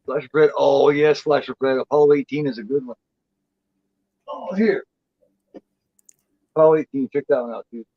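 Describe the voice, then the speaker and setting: A man's voice: mid-range voice, normal speed, long pauses, no echo. Guy in his 40s, swears a lot, rambly casual chat, dry muffled lo-fi sound. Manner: profane, rambling and blunt. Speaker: co-host.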